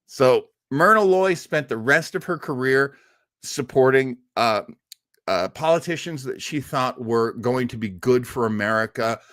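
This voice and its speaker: Male host, casual chatter, animated pitch, dry close mic. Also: dry recording. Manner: chatty and enthusiastic, with frequent fillers and restarts. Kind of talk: casual livestream chat.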